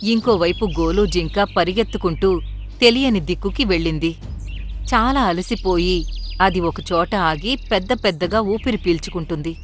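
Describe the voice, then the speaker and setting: A woman's voice: lowish voice, normal speed, faint music behind. A woman narrator reading a scripted children's story.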